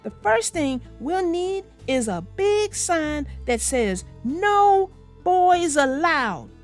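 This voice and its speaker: Woman voicing an emphatic, high-pitched little girl bear. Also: sing-song, slow, faint music behind, exaggerated voice. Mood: excited, bossy. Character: young girl bear cub, proud and indignant. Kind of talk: children's storybook read-aloud.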